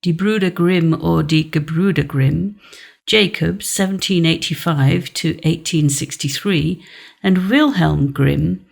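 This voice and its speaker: A woman's voice: deep voice, takes it slowly, gravelly. A woman reading scripted narration as an audiobook narrator.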